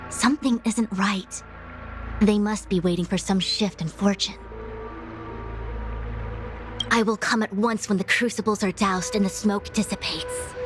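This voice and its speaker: A woman's voice: medium pitch, steady tone, normal speed, faint music behind, close to an everyday voice. A woman voicing a stern, scholarly female commander in a video game, speaking in a formal, self-assured, didactic way. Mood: resolute.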